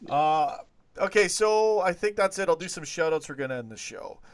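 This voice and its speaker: A man's voice: high-pitched, lively intonation, normal speed, no echo. A man hosting a live football talk stream, chatting in a laid-back, rambling way. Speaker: host.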